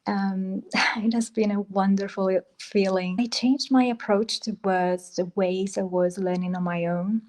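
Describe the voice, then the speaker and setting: A woman student speaks about how her learning changed. Her voice stays flat and even, and she talks reflectively with small hesitations. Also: mid-range voice, unhurried. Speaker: student giving a testimonial.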